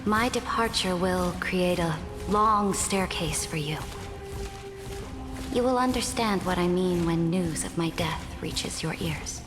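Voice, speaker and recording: A woman playing a proud, shrewd imperator in a video game, speaking in a measured, formal, authoritative way. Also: lowish voice. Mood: solemn, defiant. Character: commanding female imperator, proud, shrewd, resolute. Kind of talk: dramatic game dialogue. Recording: reverberant hall, music behind.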